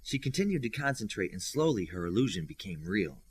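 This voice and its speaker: A man's voice: fairly low pitch, sing-song, a little fast, husky. A man reading scripted narration from a superhero novel as an audiobook reader.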